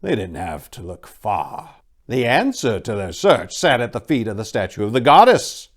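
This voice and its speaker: A man's voice: mid-range voice, sing-song, moderate pace. Theatrical man with widely swinging intonation. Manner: theatrical, emphatic. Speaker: narrator and host. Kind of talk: dramatic story reading.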